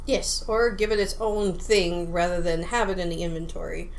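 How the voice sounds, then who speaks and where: A woman's voice: fairly low pitch, fairly even intonation, moderate pace, slight background noise, low-quality recording. A woman co-hosting a podcast, talking casually as she reviews a game. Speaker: podcast co-host.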